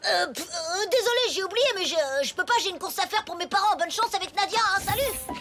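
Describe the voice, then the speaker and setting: A woman's voice: extremely high pitch, fast, over music. A woman voicing a young cartoon schoolboy, a hesitant best friend who stammers and asks questions. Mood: disgusted, awkward.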